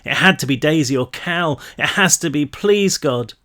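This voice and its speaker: A man's voice: on the high side, lively intonation, moderate pace, sharp tone. A man voicing a desperate, terrified boy with a stunted wing, pleading and near tears. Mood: pleading, panicked.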